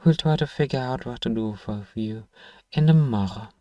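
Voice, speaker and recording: A man playing a gruff, sardonic but grudgingly kind apothecary shopkeeper in an ASMR fantasy roleplay, dryly teasing and sighing as he talks to one listener. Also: medium pitch, moderate pace, dark tone, no room sound, dull audio, natural voice. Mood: teasing, softening.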